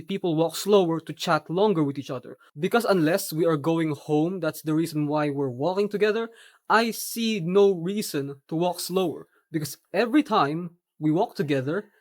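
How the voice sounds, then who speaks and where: A young man from the Philippines with a Filipino accent, whose first language is Tagalog, talking earnestly in a personal vlog with measured, slightly formal phrasing. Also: a little high. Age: young adult. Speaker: vlogger. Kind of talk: personal reflective monologue.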